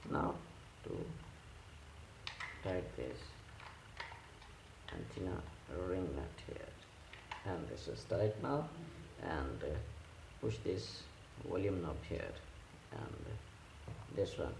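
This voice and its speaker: A man who speaks English as a second language, giving hands-on repair instructions in a halting, simple step-by-step way. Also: low voice. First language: not English. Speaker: repair tutorial host. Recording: echoey room with clear background noise.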